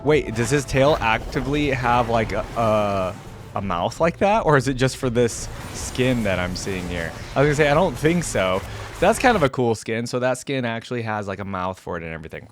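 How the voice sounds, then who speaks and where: A man's voice: medium pitch, lively intonation, moderate pace, a little hiss or hum. Chatty man reacting with curiosity. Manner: curious, chatty, many likes and ums, laughs often. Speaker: gaming reaction channel host. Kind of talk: casual reaction commentary.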